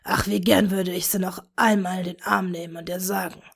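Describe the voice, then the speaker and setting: A woman voicing a remorseful dark wizard father, speaking softly in a broken whisper. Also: mid-range voice, steady tone, moderate pace, gravelly. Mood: mournful. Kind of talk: fan fiction reading.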